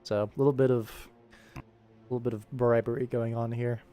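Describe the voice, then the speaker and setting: A man's voice: mid-range voice, flat delivery, a little fast, warm tone. A man giving casual, musing livestream commentary as he directs animation voice work. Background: background game music.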